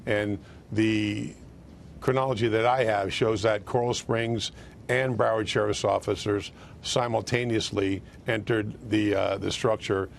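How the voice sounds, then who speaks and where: An American man answering as a news interview guest, speaking haltingly and repeating words as he goes. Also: lowish voice, fairly even intonation, vocal fry, slight background noise. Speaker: police union president, interview guest.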